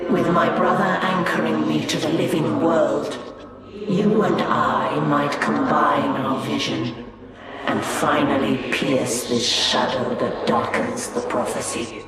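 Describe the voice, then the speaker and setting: An actor playing a mystic male elf prophet who speaks gravely. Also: a little high. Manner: grave, slow. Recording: echoey hall, from far off, loud music.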